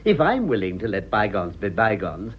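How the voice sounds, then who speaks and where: An actor playing a suave, ironic older gentleman with an upper-class English accent, teasing with mock politeness in a radio play. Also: on the low side, wildly swooping pitch, moderate pace, breathy edge, exaggerated voice. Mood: mock-polite. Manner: urbane, mock-polite, dry. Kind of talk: stage comedy radio acting. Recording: dull, muffled old radio drama.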